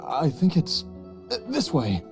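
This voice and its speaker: A man voicing a troubled but determined young man in an anime-style game, speaking in a halting, strained way. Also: a little high, huge pitch swings, gravelly, exaggerated voice. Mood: uneasy, searching. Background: game music.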